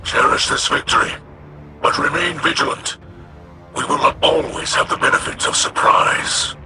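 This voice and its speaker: A man's voice: on the high side, fairly even intonation, moderate pace, pushed and bright. A man playing a solemn, stoic alien warrior leader in a video game, speaking in formal, reverent, weighty phrases. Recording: music behind the voice.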